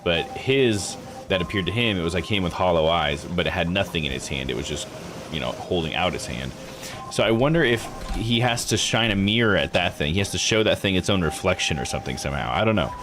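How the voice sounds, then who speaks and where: Low voiced guy streaming a game, casually thinking out loud, music playing behind him. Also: animated, a little fast. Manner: musing, thinking aloud. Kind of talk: casual live playthrough commentary. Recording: music under the voice.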